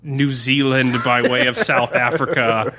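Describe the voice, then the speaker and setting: A man's voice: medium pitch, steady tone, a little slow, sharp tone. A man co-hosting a podcast in casual chat, emphatic and wry, fond of exaggeration. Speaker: podcast co-host.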